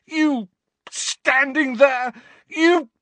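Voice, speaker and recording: Raging grief-stricken teenage boy, played by a man, loud and high, voice shaking, audiobook. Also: animated, takes it slowly, slightly rough, dry recording. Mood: furious. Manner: shouting, voice shaking with rage.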